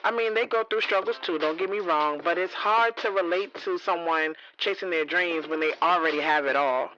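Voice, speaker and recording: A woman's voice: on the low side, normal speed, fairly bright tone, dull audio, slight background noise, lightly acted. A woman playing a hopeful, candid author of about thirty in an audio drama, speaking in a casual, earnest way. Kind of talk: first-person audio drama narration and radio interview dialogue.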